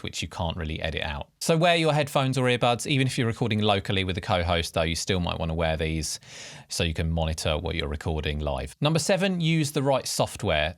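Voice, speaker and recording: Guy doing a how-to video, deep voice, really dry close mic sound, explains stuff point by point. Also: no room sound. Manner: clear step-by-step explaining, numbered points. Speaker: tutorial host. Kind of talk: instructional video narration to camera. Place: quiet treated home studio with acoustic panels.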